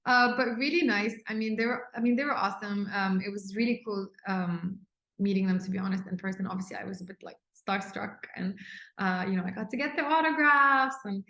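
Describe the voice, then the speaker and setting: A woman's voice: mid-range voice, fairly quick, bright, forceful tone. A young woman who grew up in the UAE, chatting casually as an interview guest, laughing often and restarting her sentences. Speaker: guest, livery designer. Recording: roomy echo.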